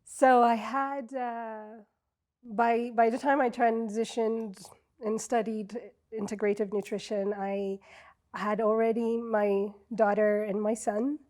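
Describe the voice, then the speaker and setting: A middle-aged woman from Ethiopia speaks slowly in a warm, mellow voice that sits a little high. She is an interview guest in a television studio, telling her story reflectively with many ums and pauses. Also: flat delivery. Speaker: guest, wellness coach.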